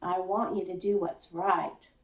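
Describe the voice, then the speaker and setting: A woman's voice: lowish voice, little pitch movement, unhurried. A woman voicing a stern, plain-spoken father who lectures his son in folksy rural phrasing, for a children's story. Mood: firm. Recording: roomy echo, distant mic.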